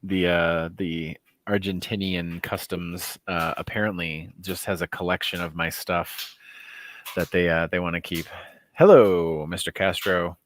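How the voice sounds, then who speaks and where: A man's voice: low voice, fairly even intonation, measured pace. A man hosting a livestream, chatting casually and joking, rambling with many fillers. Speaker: host.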